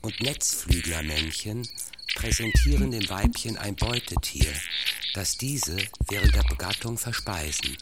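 A man's voice: fairly low pitch, huge pitch swings, a little slow. A man reading scripted scientific narration in a factual, lecturing manner, like a documentary text. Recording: voice over loud electronic music. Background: electronic music with insect-like chirping.

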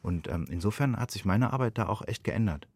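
Male interviewee, very low voice, dry close sound, reflective and earnest. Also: lively intonation, brisk, darkish tone, husky, no room sound. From Germany. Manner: thoughtful, earnest, self-questioning. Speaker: interviewed playwright.

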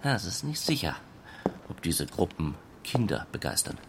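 A man narrates a literary text in a low voice with a gravelly edge. His delivery is measured and formal, setting things out factually like an official report. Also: very animated, background noise.

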